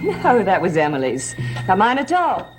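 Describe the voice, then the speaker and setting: An actress playing a sly, mocking society gossip in a gothic soap opera, arch, needling and condescending. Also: female voice, medium pitch, huge pitch swings, moderate pace. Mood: feigned innocence. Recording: music behind the dialogue.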